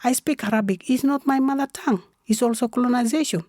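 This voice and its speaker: Woman activist, Sudanese accent, non-native English, emphatic interview talk; slightly high, soft-edged tone. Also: quick pace, no echo, studio quality. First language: a mother tongue other than English. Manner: emphatic, repetitive rhetorical questions. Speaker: activist guest.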